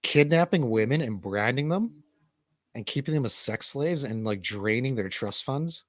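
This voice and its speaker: A man's voice: medium pitch, expressive, moderate pace, dry recording. A man hosting a casual livestream chat, laid-back, slangy and rambling. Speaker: host of a livestream show.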